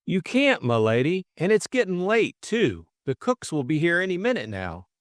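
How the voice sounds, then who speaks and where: A man's voice: high-pitched, huge pitch swings, normal speed, no echo, clean studio sound, voice pushed up. A man voicing an anxious working-class servant in an audiobook, plaintive and deferential, with ungrammatical speech. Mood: wailing, dismayed.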